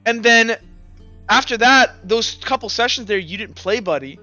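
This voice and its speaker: A man's voice: very high voice, animated, normal speed, bright, forceful tone. A man running a tabletop roleplaying game as game master, explaining things emphatically in casual talk over a lo-fi recording. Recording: dull lo-fi recording with music underneath. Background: music.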